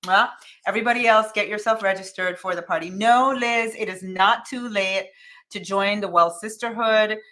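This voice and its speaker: A woman's voice: mid-range voice, moderate pace, pushed and bright. A woman coach hosting a casual motivational live stream. She chats upbeat, reads out viewer comments by name, and keeps repeating calls to action. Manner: repetitive, urging calls to action.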